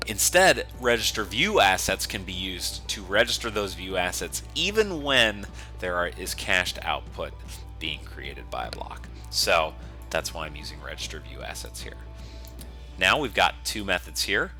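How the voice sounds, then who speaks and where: A man's voice: low voice, sing-song, a little slow, sharp tone, soft background music. A man giving a coding tutorial, explaining each step casually and methodically in an unscripted screencast style. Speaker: software developer giving a tutorial.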